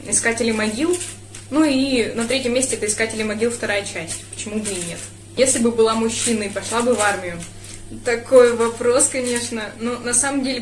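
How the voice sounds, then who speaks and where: A woman's voice: a little high, reverberant. A young woman from Russia answering viewer questions in a casual vlog, thoughtful and hesitant, with frequent fillers. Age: young adult. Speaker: speedcuber vlogger.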